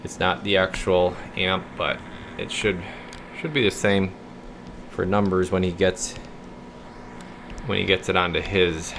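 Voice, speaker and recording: A man's voice: sits low, flat delivery, a little slow, gravelly, long pauses, noisy background. A man giving a casual, folksy, rambling walkthrough as a repair technician, thinking aloud as he goes. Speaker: radio repair technician presenting his repair videos. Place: radio repair workshop.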